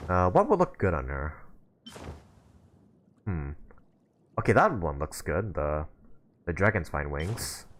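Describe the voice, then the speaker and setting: A man's voice: low voice, very animated, slow pace, pauses often, slight background noise. A young man giving casual live stream commentary on a game, informal and thinking aloud as he plays.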